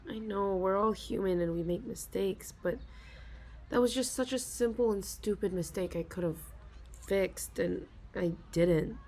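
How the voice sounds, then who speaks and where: A young woman in a soft ASMR role-play, confiding hesitantly as an anxious office worker, sighing and stopping to restart her sentences. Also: mid-range voice, slight background noise. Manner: hesitant and self-deprecating, with frequent sighs and halting restarts.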